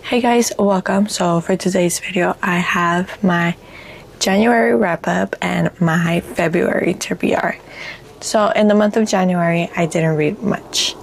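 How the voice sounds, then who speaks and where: Woman book vlogger, lower-pitched and raspy, slightly congested, unhurried casual chat. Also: a little hiss or hum. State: congested from allergies.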